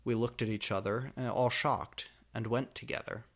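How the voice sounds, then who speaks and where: A man's voice: mid-range voice, fairly even intonation, creaky, a bit bright. A man hosting a reading of amateur fan fiction, pronouncing every typo and abbreviation exactly as written. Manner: deliberately reads typos and abbreviations literally.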